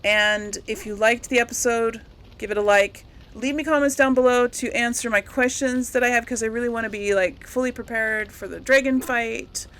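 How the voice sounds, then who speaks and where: A woman's voice: a little high, fairly even intonation, normal speed, a bit bright. A woman hosting a gaming let's play, talking casually to her viewers in a rambling, chatty way. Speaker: host of a video game let's play channel.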